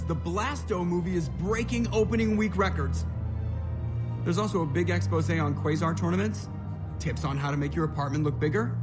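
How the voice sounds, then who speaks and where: A man's voice: on the high side, natural voice. A man playing a wisecracking, irreverent young starship crewman in a video game, casual and sarcastic.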